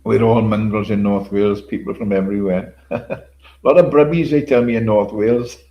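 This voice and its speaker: Gravelly older man rambling on a livestream. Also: fairly low pitch, fairly quick, muffled recording. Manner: rambling, repeats himself, swears casually, chuckles. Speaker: livestream host. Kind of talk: casual solo livestream chat.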